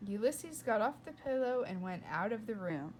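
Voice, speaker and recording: A woman reading a children's book aloud with expressive, playful and dramatic narration. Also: on the high side, normal speed, a little hiss or hum. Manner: dramatic, playful delivery with whispers and asides. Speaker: read-aloud storyteller.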